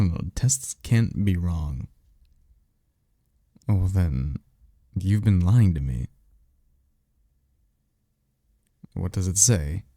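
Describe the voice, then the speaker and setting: A man's voice: sits low, dark tone, dry recording, clean studio sound, heavily acted. A man playing a cocky, easygoing vampire mentor and former archmage in a slangy, offhand audio roleplay, teasing and swearing casually. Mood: incredulous, pressing.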